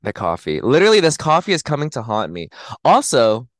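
A man in his late twenties hosting a podcast in casual chat, animated and giggly, his voice slightly raspy today. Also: medium pitch, a little fast, no room sound, clean studio sound. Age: 20s. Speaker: podcast host.

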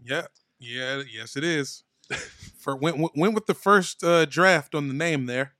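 A male podcast co-host trades deadpan jokes in loose, casual banter. His voice sits a bit on the high side for a man. The sound is dry and close, with no room around it. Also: takes it slowly, dry recording. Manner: joking, deadpan asides. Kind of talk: casual comedic chat.

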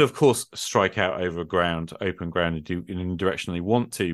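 A man's voice: deep voice, fairly bright tone, no echo, studio quality. A man in an unscripted discussion, explaining his thoughts reflectively as a game master and often checking in with rhetorical questions.

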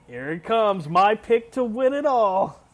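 A man's voice: sits high, sing-song, unhurried. A man giving jokey, casual live commentary as he watches a wrestling match. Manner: jokey, frequently chuckling.

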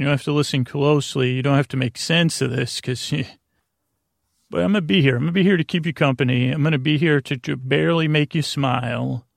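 Very creaky-voiced, rambling American man. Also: medium pitch, no room sound, studio quality. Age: middle-aged. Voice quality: very creaky, gravelly. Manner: meandering, halting, self-interrupting, whimsical. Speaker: podcast host. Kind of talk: rambling bedtime monologue to help listeners sleep.

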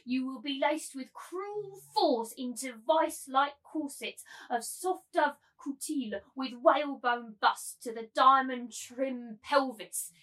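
Woman acting domineering madam, high voice, cruel, imperious, theatrical novel reading, slightly distant mic. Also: takes it slowly, few pauses, fairly bright tone. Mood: gloating. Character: cruel, gloating, domineering brothel madam turned masculine tyrant. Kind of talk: dramatic table reading of a novel.

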